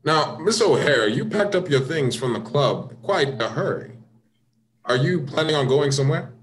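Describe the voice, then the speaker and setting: A man playing a measured, skeptical American police inspector in a comic radio mystery. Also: a little high, animated, close to an everyday voice. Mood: skeptical, pressing.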